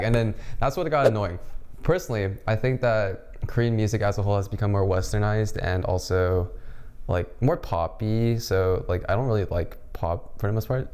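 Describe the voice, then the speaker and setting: Young man with a smooth low voice. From Los Angeles County. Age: young adult. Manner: animated, laughing asides, restarts. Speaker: student panelist. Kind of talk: casual chat.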